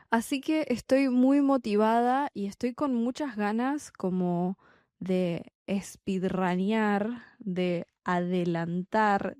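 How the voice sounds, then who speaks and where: A young adult woman from Argentina with a Buenos Aires accent, a Spanish tutor talking slowly and deliberately in a podcast for learners. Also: mid-range voice, darkish tone, no room sound, clean studio sound. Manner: slow, deliberate, clearly spaced phrasing. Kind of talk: slow podcast monologue for learners.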